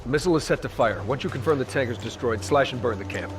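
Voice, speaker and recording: A man's voice: medium pitch, animated, fast, natural voice. An actor playing a cold, cynical male mercenary villain in a military game, curt, dismissive and sardonic. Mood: sardonic, commanding. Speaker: villain giving orders. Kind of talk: cinematic military game acting. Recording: music behind the voice.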